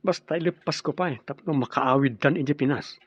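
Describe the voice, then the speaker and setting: A man's voice: fairly high pitch, brisk, husky, dry recording, muffled recording. An actor playing an easygoing, teasing Filipino family man in an Ilocano radio drama. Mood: earnest.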